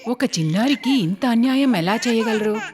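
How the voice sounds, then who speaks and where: A woman voicing a tender, compassionate village mother in a cartoon, gentle and emotional. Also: a little high, expressive, moderate pace, over music, natural voice. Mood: distressed.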